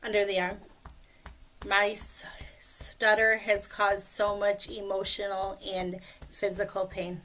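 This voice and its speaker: A woman speech coach leading a guided tapping session, speaking slowly and calmly in short phrases for a client to repeat, over a video call. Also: medium pitch. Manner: slow, calm, leads phrases for repetition.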